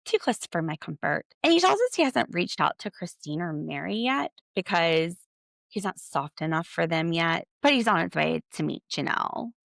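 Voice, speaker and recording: American lady doing a casual opinionated recap, lots of vocal fry, snarky and animated, normal pitch. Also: no room sound, studio quality. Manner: animated, sarcastic, slangy. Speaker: podcast host.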